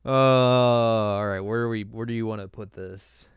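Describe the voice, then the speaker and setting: A man's voice: lowish voice, steady tone, unhurried, clean tone, dry recording. A man co-hosting a podcast in casual conversation, informal and wry, repeating words for emphasis. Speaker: podcast co-host. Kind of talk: casual chat, film ranking discussion.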